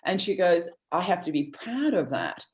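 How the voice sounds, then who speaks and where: A woman's voice: lowish voice, very animated, normal speed. A woman from Australia, giving an earnest, rambling motivational talk with many restarts over a video call. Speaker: guest speaker.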